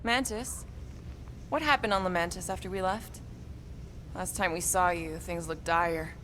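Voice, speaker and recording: A woman's voice: lowish voice, a little slow, fairly bright tone, slight background noise, poor audio. An actress playing a tough, no-nonsense female alien warrior in a video game cutscene. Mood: concerned.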